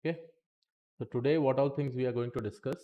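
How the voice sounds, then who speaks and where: A man's voice: medium pitch, fairly even intonation, fast talker, dark tone. A man teaching statistics in a live-streamed lesson, chatty and talking to the chat between points, saying okay often.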